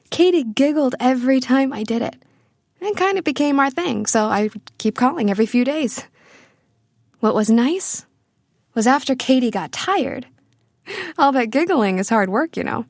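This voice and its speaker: Audio drama lead: tender, playful young woman, higher-pitched, confiding and expressive, captured close and dry. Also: slightly rough, no echo, heavily acted. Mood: playful, amused, then warm, fond. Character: tender, playful young woman missing her distant family. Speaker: actress performing a monologue. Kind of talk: intimate first-person audio drama monologue.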